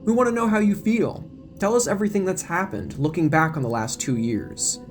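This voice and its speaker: A brazen woman reporter , put on by a man, pushing for answers in an audio drama. The pitch is lifted and music plays underneath. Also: a little fast, natural voice. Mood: pushy. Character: pushy, brazen female TV reporter. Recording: music bed behind the voice.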